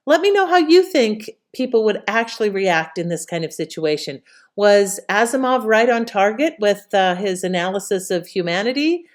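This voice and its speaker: A woman hosting with a casual spoken introduction, conversational and inquisitive. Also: mid-range voice. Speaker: host introducing a radio episode.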